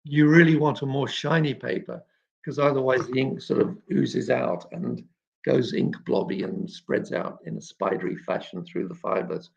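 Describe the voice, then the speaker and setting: A man hosting an informal live question-and-answer chat, explaining things in a halting way and often clearing his throat. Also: medium pitch. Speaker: children's author and illustrator hosting a live chat. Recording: dull, muffled lo-fi live stream.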